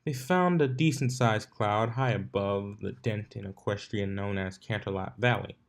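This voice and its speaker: Man, low-pitched voice, scripted story narration, occasional stumbles and rereads. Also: moderate pace, gravelly. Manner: stumbles over words and rereads phrases. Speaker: narrator reading fan fiction aloud.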